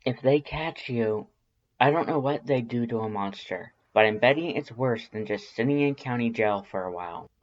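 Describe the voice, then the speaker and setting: A man's voice: medium pitch, normal speed, highs cut off, lightly acted. Man reading a worried, firm, pleading human.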